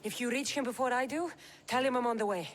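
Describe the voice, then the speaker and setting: A woman's voice: on the high side, fast talker, soft background music, close to an everyday voice. A woman playing a tough, determined Greek mercenary in dramatic video game dialogue, firm and resolute.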